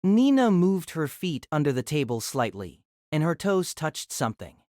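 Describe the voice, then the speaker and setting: Measured male narrator, slightly high voice. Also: big pitch swings, darkish tone, no echo, clean studio sound. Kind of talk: scripted novel narration.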